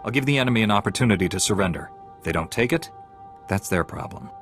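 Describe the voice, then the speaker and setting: An actor playing an earnest, composed young Jedi knight in a video game, speaking in a calm, principled way. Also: male voice, fairly low pitch, fast. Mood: calm, firm. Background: music.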